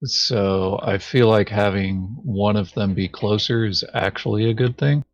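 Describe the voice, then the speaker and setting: A man's voice: on the low side, a little slow, no echo, dull audio. A man chatting casually about tactics as a co-player in a board game.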